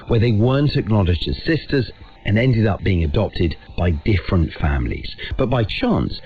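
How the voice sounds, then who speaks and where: A man presenting a documentary, moving between scripted narration and casual interview questions. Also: fairly low pitch. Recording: muffled, lo-fi radio sound.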